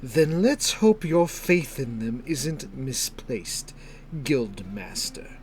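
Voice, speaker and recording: A man voicing a cold, deliberate masked viscount from a fantasy story, with measured, precise speech. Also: mid-range voice, sing-song, darkish tone, a little hiss or hum. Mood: cool, commanding.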